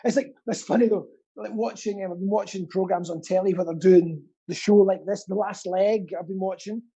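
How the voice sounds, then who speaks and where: A man's voice: sits high, fairly even intonation, a little fast, mellow, husky. A man chatting casually as a guest, rambling and earnest, with a self-deprecating streak. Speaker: guest, pantomime actor and theatre stagehand. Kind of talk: casual chat.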